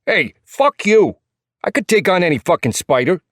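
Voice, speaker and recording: A man's voice: high-pitched, big pitch swings, normal speed, a bit bright, no echo, clean studio sound, pitched above the performer's own voice. A man voicing a short, hot-headed, foul-mouthed biker with a thick New York accent and a combative attitude, for an audiobook. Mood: cocky, combative.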